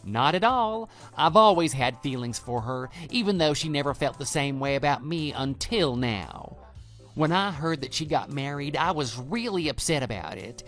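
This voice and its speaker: A man's voice: a little high, lively intonation, moderate pace, fairly bright tone, soft background music. A man playing a smug, brazen lover who talks down to a betrayed husband in an audio drama. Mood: gloating. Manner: smug, condescending, dismissive. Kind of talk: scripted texting-story radio drama.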